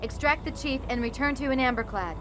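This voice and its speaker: A woman's voice: sits high, a little fast, fairly bright tone, a little hiss or hum. A woman playing a bold, resolute naval commander in a video game, with clipped, determined orders. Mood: brisk. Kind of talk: video game cutscene acting.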